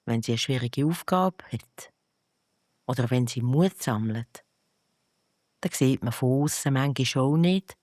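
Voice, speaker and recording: Unhurried Swiss German bedtime storyteller. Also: medium pitch, darkish tone, no echo, clean studio sound. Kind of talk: scripted narration of a bedtime story.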